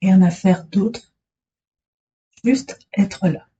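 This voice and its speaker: A woman guiding a relaxation meditation as a yoga instructor, soothing and unhurried, speaking in short phrases with long pauses. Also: medium pitch, mellow, husky, highs cut off.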